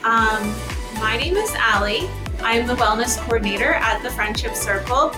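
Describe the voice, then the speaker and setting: A woman's voice: a little high, expressive, normal speed, music in the background. A woman who works as a wellness coordinator, talking in a supportive, casual way on a podcast.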